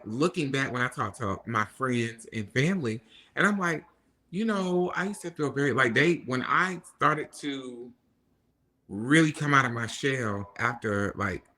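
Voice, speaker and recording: Bright-voiced man telling animated stories. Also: medium pitch. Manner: animated storyteller, acts out quoted people. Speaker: podcast guest. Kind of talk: casual chat.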